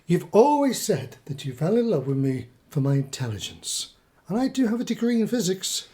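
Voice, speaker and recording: An actor playing an earnest, pedantic middle-aged British husband who has become a conspiracy believer, in a radio play. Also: male voice, high voice, big pitch swings, normal speed, dark tone. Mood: pleading, insistent.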